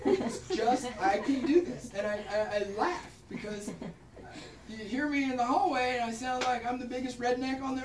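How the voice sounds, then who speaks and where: A woman from Kansas, a pain management doctor, gives an informal talk to clinicians, tossing in "right?" after her points and laughing at herself. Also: on the high side. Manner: frequent tag questions, laughs at herself. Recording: roomy echo, distant mic, noticeable background noise.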